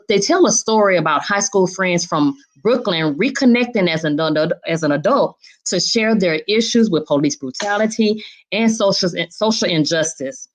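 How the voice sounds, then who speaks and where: Chatty woman hosting an interview show. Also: on the low side. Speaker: show host.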